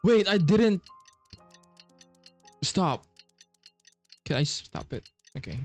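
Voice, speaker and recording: A woman's voice: fairly low pitch, expressive, slow, darkish tone, soft background music. A woman giving casual, slangy playthrough commentary on a video game, swearing freely. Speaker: gaming streamer.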